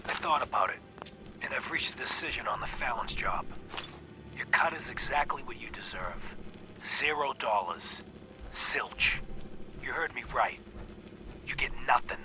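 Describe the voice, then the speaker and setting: Cocky male gangster boss played by a man, mid pitch, theatrical, mocking, menacing, game message on noisy recording. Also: lively intonation, close to an everyday voice. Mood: scornful menace. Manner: theatrical, sardonic, emphatic pauses. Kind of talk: dictated message in video game voice acting. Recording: noisy taped message with rumbling ambience.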